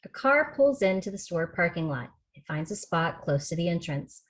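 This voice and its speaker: A woman's voice: lowish voice, sing-song, normal speed. A woman reading scripted screenplay narration as the stage-direction reader on a video call.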